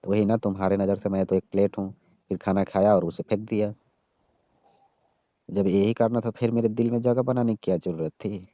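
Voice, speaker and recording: A young man with a low, very mellow voice pleads insistently in a casual phone conversation. The call recording is dull and lo-fi, and he sounds like an emotional, jilted lover. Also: fairly even intonation, brisk, dry recording.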